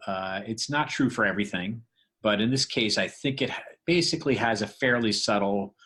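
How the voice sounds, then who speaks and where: A man's voice: on the low side, fairly bright tone, breathy edge. A man giving a casual, informal walkthrough as a podcast creator and sound designer, explaining loosely as he goes.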